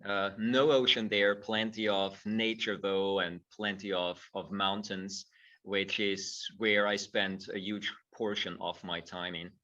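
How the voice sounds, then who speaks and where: A middle-aged man speaks thoughtfully and often restarts his phrases. His voice is low and bright. He sounds far from the mic, and the audio is dull and muffled. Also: flat delivery, unhurried. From Austria. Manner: thoughtful, repeats words when starting phrases. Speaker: guest, movement teacher. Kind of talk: conversational interview.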